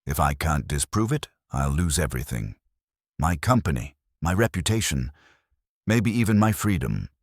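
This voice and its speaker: A man voicing a brisk, determined businesswoman in her late thirties, clipped and decisive, in an audiobook. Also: rumbling low, very animated, no room sound, studio quality, full character voice. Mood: steady, insistent.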